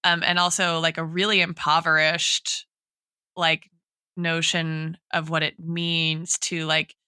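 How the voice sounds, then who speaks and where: A woman's voice: deep voice, little pitch movement, takes it slowly, sharp tone, clean tone, dry recording, clean studio sound. A woman co-hosting a podcast, reasoning aloud in a conversational, academic way and often phrasing her points tentatively. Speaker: podcast co-host. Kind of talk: analytical conversational discussion.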